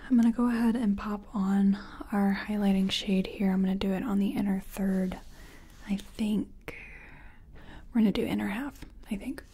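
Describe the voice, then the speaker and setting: A woman whispering softly and gently in a breathy voice, reassuring the listener in a close-up ASMR personal attention roleplay as a makeup artist. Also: medium pitch, steady tone, moderate pace. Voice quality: soft, breathy, mostly whispered. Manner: gentle, reassuring, murmured repetitions.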